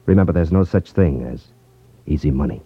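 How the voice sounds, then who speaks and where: An actor playing a confident, wry detective in a 1950s radio drama, explaining things with self-assurance. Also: male voice, very deep voice, fairly quick, gravelly, breathy edge, no room sound, heavily acted. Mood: earnest sign-off. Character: confident, wry male ex-magician rackets detective. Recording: muffled 1950s radio recording.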